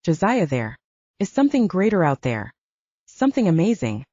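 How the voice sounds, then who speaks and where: A woman voicing a guarded, knowing young female vampire in an audiobook, soft-spoken and explanatory. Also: lowish voice, big pitch swings, normal speed, no echo, muffled recording. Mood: earnest, explaining. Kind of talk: audiobook character dialogue.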